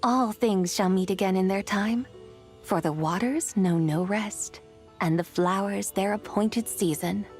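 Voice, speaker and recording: A woman's voice: medium pitch, measured pace, a little mellow, faint music behind, natural voice. A woman playing an ancient, gracious and wistful immortal in a video game, speaking in an eloquent, serene, poetic manner. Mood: gentle, grateful.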